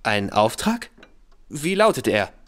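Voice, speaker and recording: A man's voice: medium pitch, very animated, slow, fairly bright tone, slight background noise. An actor playing a foolish young man, the villain's son, boastful and easily flustered, stammering when unsure. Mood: nervous. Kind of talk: radio play acting.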